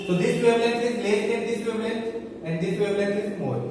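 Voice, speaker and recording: A man's voice: sits high, fast talker, darkish tone. An Indian-accented man, a native Hindi speaker, giving a physics lecture in an emphatic, repetitive way with frequent questions to his students. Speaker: physics teacher. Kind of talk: classroom lecture with rhetorical questions. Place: reverberant indoor room. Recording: echoey room, distant mic, faint noise.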